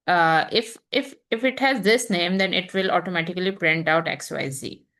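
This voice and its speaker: A young woman teaching programming in an unhurried, think-aloud way, pausing and restarting as she types examples. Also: fairly low pitch, fairly bright tone. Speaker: programming instructor. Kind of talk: screen-recorded coding tutorial.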